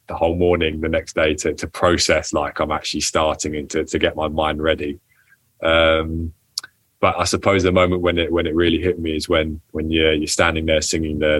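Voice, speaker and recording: A young man speaks in a deep, low voice, recorded dry and close. He answers an interviewer casually, often restarting his sentences. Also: fairly bright tone, dry recording. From England. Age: young adult. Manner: hesitant, many restarts and ums, laughs often. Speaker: footballer guest.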